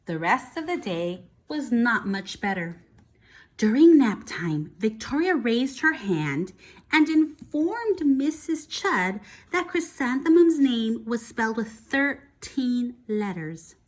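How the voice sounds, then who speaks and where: A woman teacher reading a picture book aloud to children, expressive, pausing to explain and ask questions. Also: a little high.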